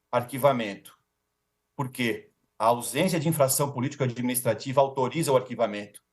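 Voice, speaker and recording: A man's voice: medium pitch, a little mellow. Formal Brazilian man delivering a legal defense. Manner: rhetorical, emphatic repetition, formal address. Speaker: defense lawyer.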